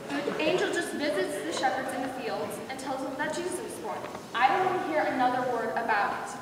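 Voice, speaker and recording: A woman playing a brisk, firm director of a children's Christmas pageant in an amateur readers theater skit, instructive and no-nonsense. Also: high-pitched, animated. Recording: distant, very echoey hall with background noise.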